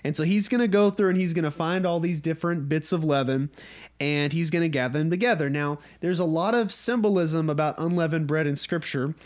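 A man's voice: a little high, a little fast. Southern man teaching Bible study. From North Georgia, USA. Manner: conversational, often checks in with "okay?".

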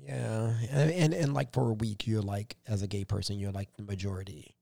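A man co-hosting a casual podcast chat, his voice hoarse, laughing often as he tells stories animatedly. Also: lowish voice, steady tone, normal speed, dark tone, no echo, studio quality. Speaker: podcast co-host.

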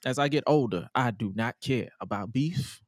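A man's voice: medium pitch, normal speed, no room sound, studio quality. A man in his twenties hosting a podcast in a loose casual chat, profane and repeating himself. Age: 20s. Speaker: podcast host.

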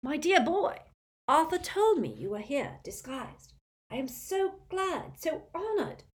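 A fluttery old wizard with a thin, high, wheezy voice, swooping up in pitch with nervous pleasure, as a woman reading a storybook puts him on. He is earnest and easily moved to tears. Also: big pitch swings, slow pace, heavily acted. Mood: fluttered.

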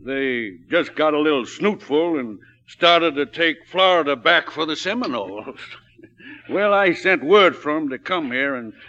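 A genial, folksy old hotel owner in a vintage radio drama. He is excitable, with a high, swooping, bright voice, heard through a dull 1940s broadcast. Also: unhurried. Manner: folksy, excitable, rustic drawl.

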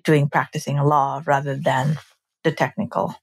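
Low-pitched female podcast host. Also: little pitch movement, moderate pace, creaky, breathy edge. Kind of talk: scripted introduction and conversational interviewing.

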